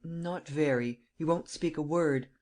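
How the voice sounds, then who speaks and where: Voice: medium pitch, unhurried, darkish tone, close to an everyday voice. A reader voicing a dutiful police subordinate reporting in a plain, matter-of-fact way.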